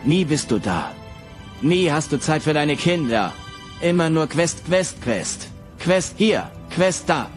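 A man's voice: a little high, very animated, takes it slowly, faint music behind. A man playing a whiny, resentful son in a comedy sketch. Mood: indignant. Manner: whiny, indignant.

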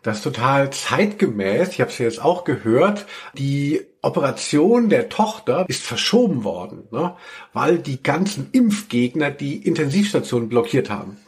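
A man's voice: mid-range voice, big pitch swings, moderate pace. A man chatting casually and humorously as a podcast host, with wry, joking asides. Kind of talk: casual humorous podcast chat about audio dramas.

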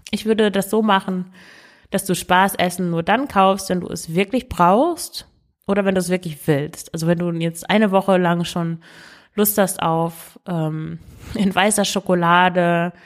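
A woman hosting a podcast with frugal living tips, talking in a chatty, direct way to her listener while she has a cold. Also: on the low side. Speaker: podcast host. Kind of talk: casual money-saving tips podcast.

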